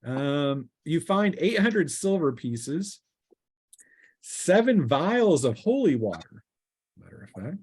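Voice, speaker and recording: A man narrating a tabletop game as game master, improvising descriptions haltingly and thinking aloud. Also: fairly high pitch, big pitch swings, darkish tone, breathy edge.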